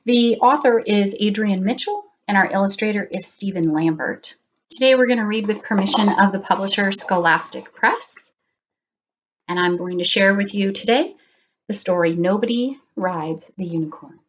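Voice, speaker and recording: A middle-aged woman reads a storybook aloud for children. Her delivery is expressive and deliberate, with a medium-pitched voice that suits a storytelling session. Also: lo-fi sound.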